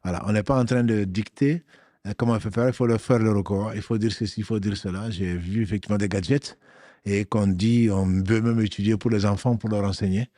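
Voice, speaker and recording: A man's voice: fairly low pitch, fairly even intonation, fairly quick, mellow, no echo, clean studio sound. A man answering as a religious teacher on a talk show. He explains rulings firmly and weaves in Arabic phrases.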